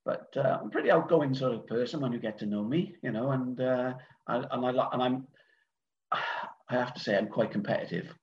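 A middle-aged man with a Welsh accent talks casually, wandering through his thoughts with frequent ums and false starts. His voice sits at an ordinary pitch. The sound is dull and lo-fi, like a remote online call. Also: fairly quick, slight background noise. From Wales. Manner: rambling, hesitant, many fillers and restarts. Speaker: guest, event organiser. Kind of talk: casual podcast conversation.

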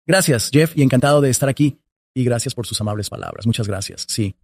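Guy in his 40s, warm storyteller, dark mellow tone. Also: mid-range voice, animated, quick pace. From Colombia. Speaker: podcast guest. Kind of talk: telling his life story in an interview.

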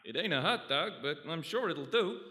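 A brash, menacing New gangster businessman in a comic radio play. His voice is high and bright, cocky and familiar, recorded in a reverberant hall. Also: expressive, normal speed. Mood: curt. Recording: echoey hall, live stage recording.

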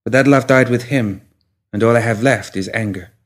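A man's voice: mid-range voice, moderate pace, lightly acted. Man acting young woman, grieving, bitter, guarded, audiobook drama.

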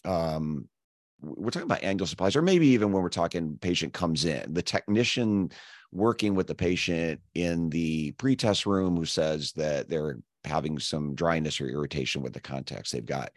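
A hoarse man with a very low, scratchy voice hosts an interview podcast. He sounds close and dry on the mic, with no room around him. He lays out long, earnest questions in several parts. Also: lively intonation, normal speed, no echo. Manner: earnest, building long multi-part questions. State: hoarse, losing his voice. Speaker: podcast host.

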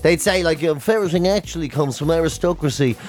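A man's voice: a little high, quick pace, a little mellow. An Irish man co-hosting a podcast, rambling jokily in casual comic banter. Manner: jokey, rambling. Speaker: podcast co-host.

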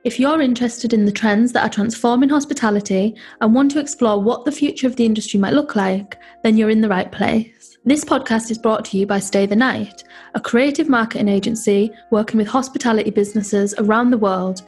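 A woman's voice: fairly high pitch, fairly quick, a little mellow, soft background music. A woman hosting a podcast, reading a polished scripted intro and then asking interview questions. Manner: clear, polished read. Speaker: podcast host.

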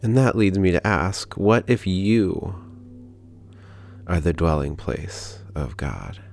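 Slow, low-voiced man guiding meditation. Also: gravelly. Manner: calm, gentle, unhurried with long pauses. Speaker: podcast host leading guided meditation. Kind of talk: guided prayer meditation with scripture reading. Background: background music.

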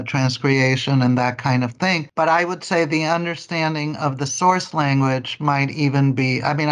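A man's voice: a little high, fairly bright tone. A man from Mexico, a native Spanish speaker, answering interview questions about translation in an explanatory way, often adding "right?". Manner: explanatory, adds "right?" tags. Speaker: translation agency owner.

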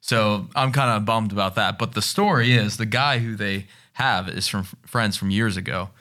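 A man with a somewhat low voice, sharing an anecdote during a casual podcast. He meanders, second-guesses where he is going and fills the pauses with "like.". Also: pushed and bright. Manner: meandering, uses "like" often. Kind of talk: casual chat, telling an anecdote.

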